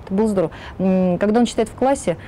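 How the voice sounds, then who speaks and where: A woman's voice: medium pitch, racing, warm tone, a little hiss or hum. A middle-aged woman teacher giving an outdoor interview on a lapel mic, hesitant and searching for words. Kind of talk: interview answer.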